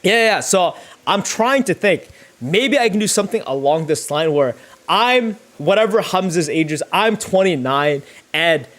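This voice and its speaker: A man's voice: high-pitched, expressive, normal speed, fairly bright tone. A young man chatting casually and thinking aloud as he works, rambling in slangy, sweary language. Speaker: YouTuber livestreaming thumbnail making. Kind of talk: casual chat, thinking aloud while working.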